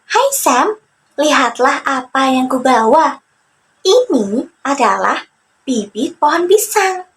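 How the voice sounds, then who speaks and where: A woman's voice: high voice, sing-song, slow, fairly bright tone, pitched above the performer's own voice, heavily acted. A woman voicing a mischievous, careless male monkey in a children's fable, whiny and full of exclamations. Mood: eager scheming.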